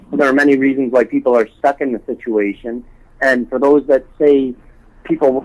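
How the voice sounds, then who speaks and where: A man speaking as a guest in a telephone interview, earnest and halting, often repeating words as he makes his case. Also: medium pitch, a little mellow, poor audio. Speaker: interview guest, homelessness awareness activist. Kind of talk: telephone interview, spontaneous advocacy talk. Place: on a telephone call.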